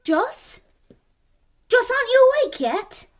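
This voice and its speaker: An observant young English girl tells her story in a radio drama. Her high voice moves with lively intonation. There is clear noise on the recording. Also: slow pace, full character voice. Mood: earnest, anxious. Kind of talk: radio drama acting and first-person narration.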